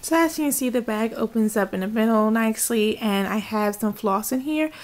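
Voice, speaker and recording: A woman's voice: on the high side, fairly quick. A woman chatting informally and enthusiastically as she shows things to the camera in a casual home craft vlog. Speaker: craft vlogger. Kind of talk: casual haul show-and-tell. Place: indoors at home. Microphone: handheld camera, speaker behind it.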